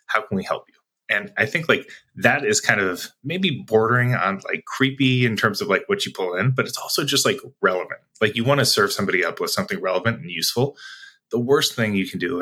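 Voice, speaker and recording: A man's voice: low-pitched, bright, forceful tone, slightly rough. A man answering interview questions as a sales executive guest, talking conversationally in a reflective, explanatory way.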